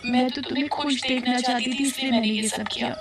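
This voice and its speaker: A woman voicing sweet, reassuring members of a cartoon family in an earnest, expressive way. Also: high-pitched, fairly quick, a crowd in the background, lightly acted. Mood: tender. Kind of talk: cartoon story acting. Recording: loud music behind the voice.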